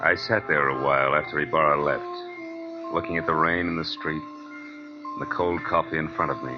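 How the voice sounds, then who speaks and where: Muffled old radio, music underneath, deep-voiced American detective, hard-boiled, weary, wry. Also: male voice, steady tone, moderate pace. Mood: brooding. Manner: terse, wry, slangy delivery. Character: world-weary hard-boiled male private detective, cynical and brooding. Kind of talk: 1940s radio noir acting and narration. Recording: muffled vintage 1940s radio recording with music.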